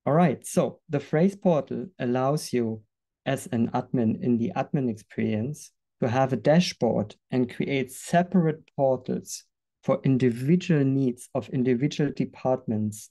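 A man presenting a software product in a webinar, earnest and a little halting, with fillers and restarts. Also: medium pitch.

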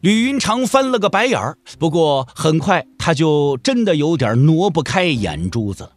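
A man narrates a martial-arts audio drama with expressive storytelling. His voice is rather high and swings widely in pitch, with music softly underneath. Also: moderate pace.

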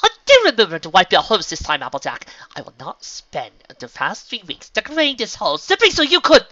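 A man's voice: very high voice, takes it slowly, a bit bright, no echo, highs cut off, voice pushed up, full character voice. A man voicing a fussy, ladylike female unicorn pony in a storybook, prim and exasperated.

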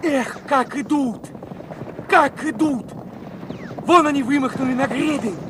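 High-voiced eager young soldier. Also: male voice, unhurried, background noise. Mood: urgent, excited. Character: eager young soldier son, naive and wondering. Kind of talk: Soviet radio play acting.

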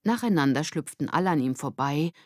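A woman's voice: sits low, animated, brisk, no room sound, studio quality. A woman narrating a scripted children's story as an audiobook reader.

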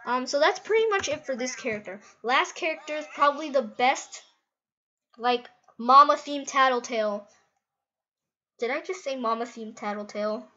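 High-voiced giggly woman, muffled mic. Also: moderate pace, sharp tone. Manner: giggly, rambling, easily amazed. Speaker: gaming video host. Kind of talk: casual gameplay commentary.

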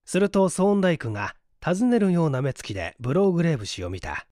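A man's voice: a little high, very animated, quick pace, darkish tone, no echo. A man narrates a story from a script in the manner of an audiobook reader. The recording is dry and close, with no room around the voice.

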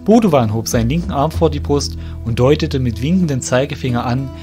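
A man's voice: medium pitch, brisk. A man reading scripted fantasy narration as the narrator of an audio drama. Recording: music behind the voice.

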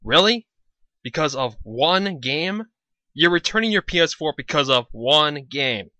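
Bright, sarcastic male commentator. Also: a little high, animated, unhurried, no room sound. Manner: mocking, questioning. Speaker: commentary host.

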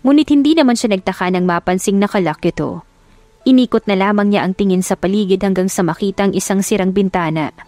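A woman's voice: medium pitch, quick pace, mellow, no echo, faint music behind. A woman reading scripted dramatic story narration as an audiobook narrator.

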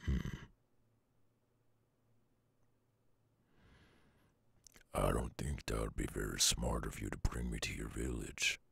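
A man voicing a brooding, weary loner werewolf with a Southern US accent and a gruff, husky drawl, in an ASMR audio roleplay. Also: extremely low pitch, fairly even intonation, fairly bright tone, no echo, heavily acted. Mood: wary, grudging. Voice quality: very gravelly, husky. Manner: slow, drawling, murmured.

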